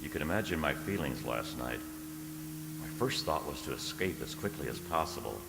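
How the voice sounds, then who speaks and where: A man's voice: rumbling low, expressive, normal speed, loud music behind the voice. An actor playing an anxious, romantic trapped man in a radio drama, with dramatic, diary-style delivery. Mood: brooding, anxious. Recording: echoey hall, long reverb.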